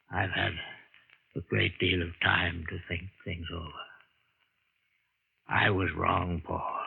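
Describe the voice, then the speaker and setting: An actor playing a lonely, regretful old bedridden grandfather in a radio drama, with a halting, weary delivery and a husky, gravelly voice. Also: deep voice, heavily acted. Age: older. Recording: tinny, band-limited old radio recording.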